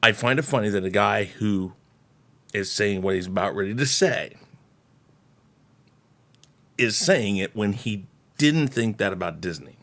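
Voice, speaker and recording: A man hosting casual reaction commentary, speaking in a measured way with pauses and throat clearing. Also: fairly low pitch, animated, fairly bright tone. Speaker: host reacting to a video.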